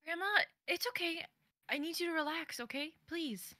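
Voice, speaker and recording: A woman's voice: extremely high pitch, normal speed, bright, forceful tone, dry recording, studio quality. A woman playing a distressed, caring granddaughter of twenty-three in a dramatic script reading. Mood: alarmed, soothing.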